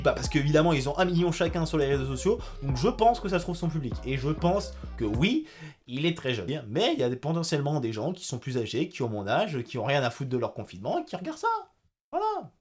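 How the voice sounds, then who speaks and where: A young man rattles through a reaction commentary at a fast clip, voice sitting high and lively. He swings from loud, mocking outbursts to muttered asides. Faint music runs underneath. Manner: animated, sarcastic, jumps between loud outbursts and mumbled asides. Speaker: YouTube commentator.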